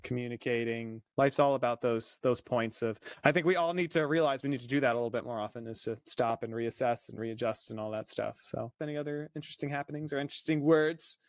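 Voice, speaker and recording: A man's voice: mid-range voice, quick pace, few pauses, no room sound, studio quality. A man co-hosting a faith podcast, playful and encouraging in casual chat. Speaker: podcast co-host.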